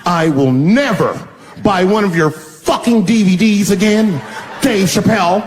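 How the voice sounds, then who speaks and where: A man's voice: high-pitched, unhurried, long reverb tail, a faint crowd, slight background noise. A man putting on a haughty, snippy woman. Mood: indignant. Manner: clipped, haughty. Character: condescending, snippy pregnant woman.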